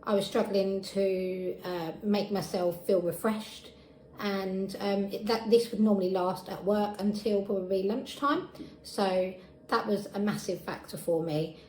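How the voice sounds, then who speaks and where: A woman's voice: medium pitch, a little slow, a little mellow. A woman in her thirties with a southeast English accent, giving a personal account as a patient. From Essex, England. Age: 30s. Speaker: patient sharing experience. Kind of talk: personal testimony to camera. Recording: echoey room with faint background noise.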